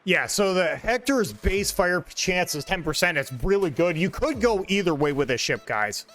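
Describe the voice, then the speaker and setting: A man's voice: high-pitched, big pitch swings, normal speed, a bit bright. A man in his forties casually commenting on his own gameplay, rambling and joking with a friend over a desk mic. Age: 40s. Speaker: gaming channel host.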